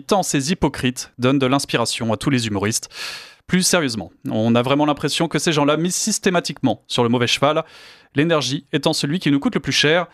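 A man's voice: mid-range voice, dry recording, studio quality. A man reading scripted political commentary in a sardonic, indignant manner. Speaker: commentator presenting news clips.

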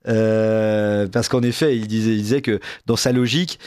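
A man hosting a discussion, measured and halting as he searches for his words. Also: on the low side, fairly even intonation, darkish tone, no echo, clean studio sound. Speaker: discussion host. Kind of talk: moderating a panel discussion.

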